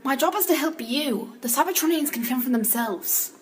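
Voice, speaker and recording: A woman's voice: sits high, animated, moderate pace, a little hiss or hum. A woman voicing a blunt, bossy, protective female robot bodyguard in an amateur comic dub. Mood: concerned, protective. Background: clear music bed.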